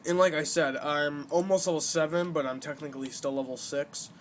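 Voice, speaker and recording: Young male gaming host, slightly high voice, rambling explanatory delivery, noisy amateur home recording. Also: steady tone, a little fast. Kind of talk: casual gameplay commentary.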